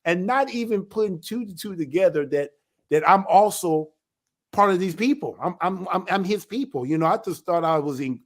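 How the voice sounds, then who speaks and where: A man, an elder of a ministry, giving a religious teaching talk in an emphatic, animated way. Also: high-pitched, moderate pace. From United States.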